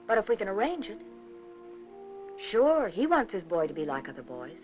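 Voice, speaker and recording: A woman narrates a 1950s documentary in a mid-pitched voice. Her delivery is scripted, earnest and measured, with a rhetorical turn to her phrasing. The old film soundtrack is dull and lo-fi, with music playing behind her. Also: very animated. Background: background music.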